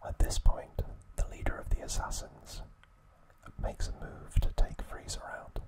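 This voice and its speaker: A man whispering an ASMR recap narration in short, hushed, unhurried phrases. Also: low-quality recording.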